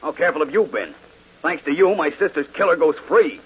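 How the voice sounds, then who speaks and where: Play an angry brother, fast, husky, insistent; dull vintage radio sound. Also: male voice, a little high, expressive. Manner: insistent, pushy. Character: angry grieving brother demanding justice, man. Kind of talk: 1940s radio acting. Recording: dull old radio recording.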